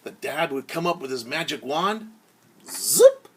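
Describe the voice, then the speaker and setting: A man telling a story as a teacher to young children, theatrical, pausing to explain words simply and acting out sounds. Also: on the high side, sing-song, slight background noise.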